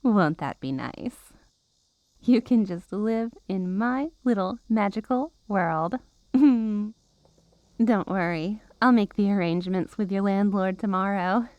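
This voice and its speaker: A woman's voice: mid-range voice, slow, a little mellow, dry recording, lo-fi sound. A woman playing a possessive, menacing witch girlfriend in an ASMR roleplay, cooing and teasing with mock-innocent sweetness and veiled threats. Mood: possessive, gleeful.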